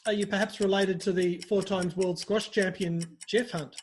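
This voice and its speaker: A man with a notably high voice hosts a rapid comic interview. He teases his guest in a playful, mock-grand manner. Faint background noise sits under the recording. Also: steady tone. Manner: teasing, mock-formal and playful. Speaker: interview host. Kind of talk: scripted quick-fire comic interview.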